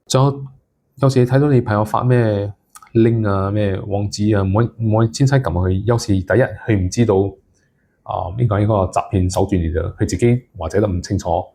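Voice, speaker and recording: A man's voice: on the low side, fairly quick, creaky, mellow, a little hiss or hum. A man giving casual advice to viewers as a solo content creator, mixing English words into his speech.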